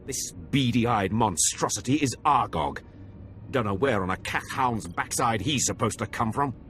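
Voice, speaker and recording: A man's voice: medium pitch, expressive, a little slow, a bit bright. Cruel male taskmaster, scornful and sneering, mid-range voice for a game cutscene over faint music. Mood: sardonic. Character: cruel, contemptuous overseer of slave acolytes. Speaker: Sith academy overseer character. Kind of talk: video game cutscene acting.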